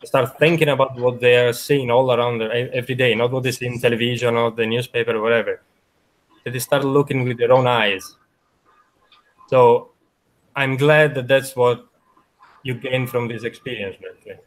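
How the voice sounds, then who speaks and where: A man making a documentary, speaking earnestly and in a measured way as he responds to his interview guest. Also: medium pitch, fairly even intonation, low-quality recording. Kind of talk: interview remarks.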